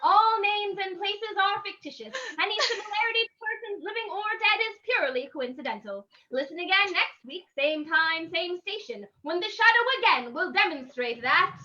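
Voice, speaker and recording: A woman's voice: extremely high pitch, expressive, normal speed, few pauses, bright, forceful tone, lo-fi sound. A woman announcer reading in the style of an old-time radio show.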